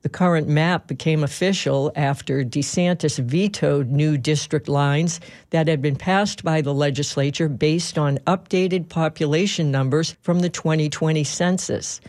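A male radio news anchor with a slightly high-pitched voice. He delivers scripted headlines and stories as a news reading. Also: steady tone, unhurried, darkish tone.

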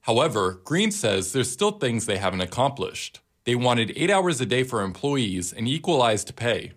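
A man's voice: mid-range voice, sing-song, normal speed. A man delivering a scripted news report as a reporter.

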